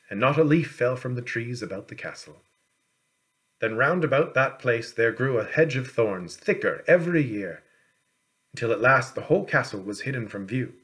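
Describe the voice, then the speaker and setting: A man narrating a storybook as an audiobook reader, in a measured, expressive storytelling manner. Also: medium pitch.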